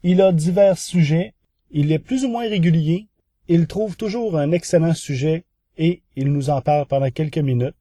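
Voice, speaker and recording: A man's voice: a little high, normal speed, mellow, husky, no room sound, studio quality. A man with a Quebec French accent presenting a semi-scripted podcast news segment in an orderly, formal way.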